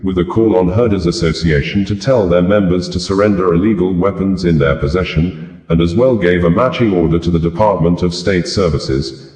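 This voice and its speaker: Deep-voiced newsreader in an echoey room. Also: male voice, darkish tone. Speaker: news presenter. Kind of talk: scripted news narration.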